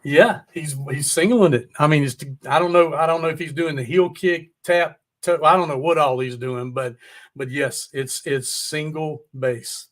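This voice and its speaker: A man's voice: on the high side, moderate pace. A man hosting a podcast, talking in a loose, casual conversational style. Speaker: podcast host, interviewer. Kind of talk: casual interview chat.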